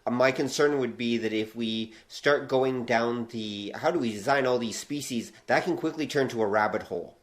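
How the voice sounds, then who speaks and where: Male podcast host, medium pitch, conversational and analytical, measured phrasing. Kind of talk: casual podcast discussion.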